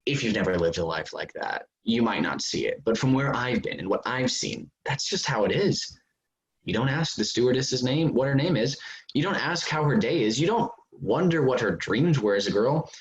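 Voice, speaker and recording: A man's voice: medium pitch, lively intonation, normal speed, close to an everyday voice. A man playing a smug, defensive amateur novelist in a staged play reading. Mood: defensive, earnest. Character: smug, self-justifying male amateur novelist, defensive about his sleazy book. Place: remote virtual staged reading. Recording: dull, noisy online call audio.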